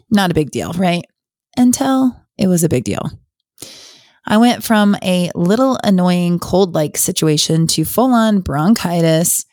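A middle-aged woman hosting her own podcast, talking in an open, confessional, conversational way, her voice slightly hoarse. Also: on the low side, darkish tone, no room sound, clean studio sound. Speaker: podcast host. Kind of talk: casual solo podcast talk.

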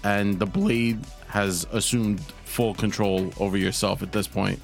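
A man narrating a tabletop roleplaying game as game master, in a measured, descriptive way with pauses. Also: lowish voice, steady tone, creaky, faint music behind.

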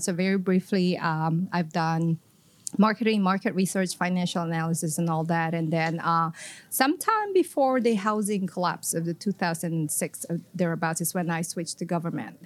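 A middle-aged woman from the Philippines with a Filipino accent, answering interview questions in an upbeat, articulate way. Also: fairly low pitch. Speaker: guest, economic development executive.

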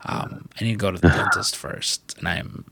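A man's voice: deep voice, normal speed, bright, forceful tone, no room sound. A man hosting a casual podcast, talking loosely with lots of swearing and fillers, still a bit congested with the sniffles. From US Midwest. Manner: loose and profane, rambling with many fillers. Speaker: podcast host. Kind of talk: casual chat.

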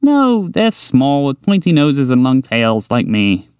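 A man voicing a small, excitable, chatty mouse in a bedtime story, eager and bubbly. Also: mid-range voice, clean tone, no room sound, clean studio sound, voice pushed up. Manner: eager, bubbly, quick to laugh. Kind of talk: storybook character voice.

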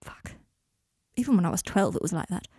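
Woman voicing a sardonic young man, mellow and dry. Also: lowish voice, expressive, a little fast, husky, dry recording. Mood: anguished, obsessive. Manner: sarcastic, rambling confessions with abrupt breaks. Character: sardonic, self-deprecating young man in therapy, deflecting and gloomy. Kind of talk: audiobook character dialogue.

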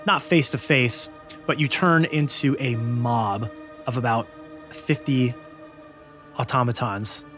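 A man's voice: mid-range voice, fairly bright tone. A man running a tabletop role-playing game as game master, narrating scenes and calling for rolls. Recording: music behind the voice. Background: background music.

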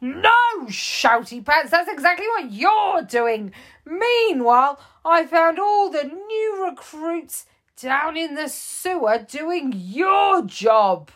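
A woman voicing a bossy male police chief in a children's story, loud, stern and scolding. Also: sits high, big pitch swings, takes it slowly, voice pushed up, exaggerated voice.

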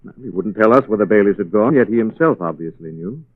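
A man playing an unflappable, dryly witty gentleman detective in 1940s radio drama style. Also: on the low side, lively intonation, no room sound. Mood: cool, guarded. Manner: dry, unhurried, understated. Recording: dry, narrow-band 1940s radio recording.

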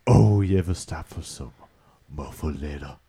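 A man's voice: extremely low pitch, normal speed, vocal fry, mellow, husky, full character voice. A man putting on a folksy, pushy Louisiana bayou man with a drawling accent and an aggrieved streak, in improvised comedy. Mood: folksy, relaxed. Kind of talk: improvised comic character interview.

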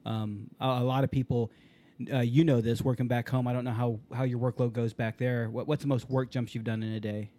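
A man's voice: medium pitch, flat delivery, fairly quick, mellow. A man in his forties hosting a podcast in loose, casual chat, swearing freely. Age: 40s. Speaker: podcast host.

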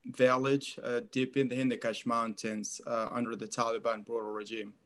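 A man's voice: mid-range voice, level tone, normal speed, fairly bright tone. A man, answering interview questions earnestly with many fillers and repeats. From Afghanistan. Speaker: interview guest, author.

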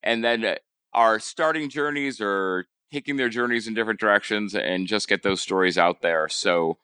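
Male podcast host with a Rhode Island accent, low pitch, bright crisp tone, halting repeats, dry close sound. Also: no room sound. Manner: halting, repeats words, hedging fillers. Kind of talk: casual podcast interview.

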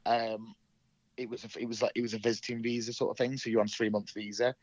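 A man's voice: on the low side, flat delivery, fast talker, no echo, highs cut off. A young man from England with a cold, sounding nasal, telling his story in an interview with many ums and restarts. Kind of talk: casual recounting in an interview.

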